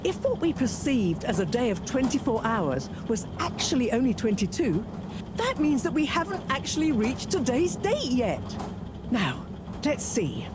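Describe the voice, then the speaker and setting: An actress playing a brisk, sharp-tongued woman scientist in a video game, theatrical and emphatic. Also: sits high, background noise. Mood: brisk, lecturing.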